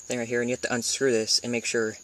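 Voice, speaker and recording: An American man giving a casual, hands-on video tutorial in an informal, halting way, thinking aloud as he goes. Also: medium pitch, completely flat delivery. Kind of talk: casual hands-on how-to demonstration. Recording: noisy amateur camera recording with clicks.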